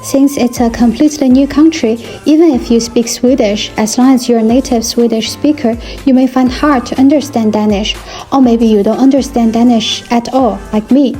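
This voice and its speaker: A young woman from China, a native Chinese speaker, narrates her vlog in a calm, measured way. Her voice sits a little high but sounds mellow and warm. Soft music plays quietly underneath. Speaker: vlogger.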